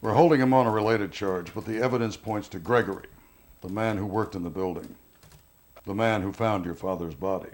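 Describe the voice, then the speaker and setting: Male actor as film police interrogator: low voice, measured, pressing, insistent, naturalistic delivery. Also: close to an everyday voice. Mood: matter-of-fact. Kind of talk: naturalistic film drama acting.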